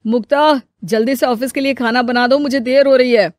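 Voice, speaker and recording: A woman's voice: high voice, fairly even intonation, a little fast, dry recording, studio quality, close to an everyday voice. A woman voicing a demanding, perplexed working husband, insistent and exclaiming, in a family story. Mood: hurried.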